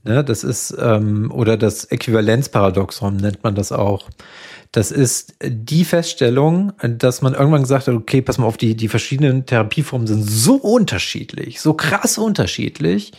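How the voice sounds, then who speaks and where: Male psychotherapist guest, loose chatty explainer, mid pitch. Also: normal speed, husky. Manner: relaxed, explains with fillers and tag questions. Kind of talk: casual podcast conversation.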